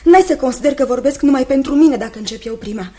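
Radio drama role: sweet young fiancée, high voice, earnest and unhappy, tender, hesitant, seeking agreement. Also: female voice, mellow, slightly rough. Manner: tender, hesitant, repeats phrases, seeks agreement. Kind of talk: Romanian radio theatre acting.

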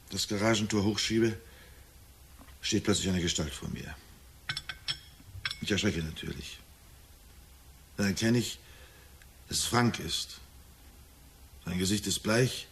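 An actor playing a gloomy middle-aged husband in a German radio drama, speaking in a measured storytelling way with dry irony. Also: male voice, on the low side, steady tone, fairly bright tone. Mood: grim, hushed recounting. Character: gloomy middle-aged husband recounting a nightmare, wryly forbearing.

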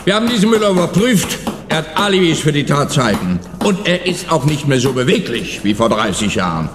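A man's voice: fairly high pitch, sing-song, fairly quick, a little hiss or hum. A man playing a smug, chatty, eccentric police officer in a radio play, breezy and self-assured. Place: police headquarters scene, reverberant room. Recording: echoey hard-walled room, long reverb.

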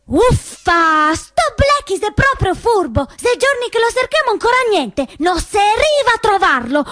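A woman voicing a plucky, excitable young partisan girl in a puppet play, exclaiming urgently with a northeastern Italian accent. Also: very high voice, no room sound, clean studio sound, voice pushed up, full character voice.

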